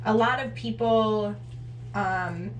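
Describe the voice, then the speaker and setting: A woman's voice: mid-range voice, fairly even intonation, takes it slowly. A woman in her twenties chatting casually to camera as a vlog co-host. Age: 20s. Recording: roomy echo, distant mic, noisy.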